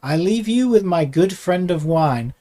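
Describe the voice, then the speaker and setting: Casting: a man as a flamboyant young game bard, lilting and lively, courtly and playful. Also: high-pitched, a little slow, pitched above the performer's own voice. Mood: breezy courtly. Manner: theatrical, courtly. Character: flamboyant boyish male bard, playful and grandiose.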